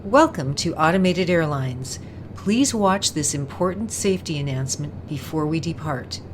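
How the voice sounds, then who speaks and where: A woman's voice: low-pitched, animated, moderate pace, background noise. A woman playing a courteous automated airline announcer in a radio drama, polished and formal.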